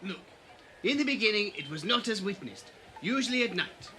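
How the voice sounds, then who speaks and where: An actor playing a flashy, evasive bar owner at a beach resort in a fan audio drama, expressive in delivery. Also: male voice, high voice, moderate pace, slight background noise, exaggerated voice. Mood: evasive, sheepish.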